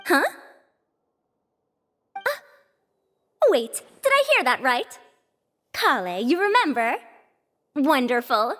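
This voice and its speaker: High-voiced bossy senior scholar. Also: female voice, big pitch swings, takes it slowly, long pauses, pushed and bright, exaggerated voice. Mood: delighted, proud. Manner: emphatic, schoolmarmish. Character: bossy senior female scholar, proud, youthful-sounding, lecturing. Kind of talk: scripted video game voice acting. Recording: music playing underneath.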